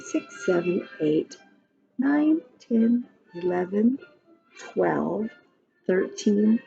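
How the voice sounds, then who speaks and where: Slow, animated woman reading a children's storybook. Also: medium pitch, slightly rough. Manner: expressive, sing-song, addressing young listeners. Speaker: children's picture book reader. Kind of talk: read-aloud storytime for young children, rhyming verse with counting asides. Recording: muffled lo-fi audio with background music. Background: music from a picture-book app.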